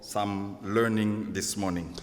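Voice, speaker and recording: A man whose first language is Kikongo, preaching in English as a guest and pausing in short, measured phrases for an interpreter. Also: on the low side, steady tone. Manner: slow, measured phrases paused for translation. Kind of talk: sermon delivered in English with live interpreter. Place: church sanctuary. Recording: long church hall reverb.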